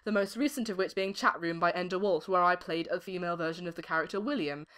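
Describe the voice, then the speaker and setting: A young woman giving articulate, expressive interview answers as an amateur voice actress. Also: lowish voice, fast talker. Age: young adult.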